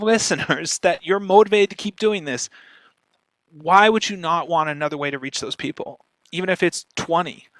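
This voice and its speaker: A man's voice: high-pitched, a bit bright, dry recording, poor audio. A man speaking as a podcast strategist in an informal question-and-answer talk, emphatic and opinionated.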